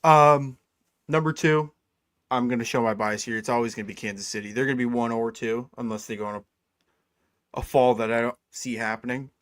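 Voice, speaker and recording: A man's voice: mid-range voice, fairly even intonation, brisk, no room sound, studio quality. A man in his twenties co-hosting a casual sports podcast, laid-back and saying "dude" a lot. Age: 20s. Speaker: co-host.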